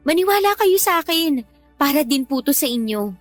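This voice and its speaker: An earnest young student in a radio drama. Her voice is very high, and she pleads with respectful but firm conviction. Soft music runs underneath. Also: animated, moderate pace, dry recording. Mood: earnest, concerned. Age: young adult. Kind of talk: Tagalog radio drama acting.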